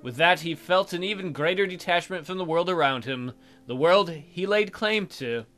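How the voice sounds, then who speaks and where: A man's voice: high voice, moderate pace, fairly bright tone, soft background music. A man hosting a let's play, reading game text aloud expressively and adding casual jokey commentary.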